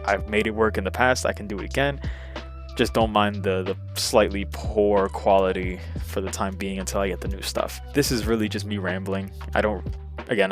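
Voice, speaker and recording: Rambling low-voiced young man. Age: 20s. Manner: rambling, hesitant, self-deprecating. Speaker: YouTuber. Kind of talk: casual rambling vlog. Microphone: old webcam.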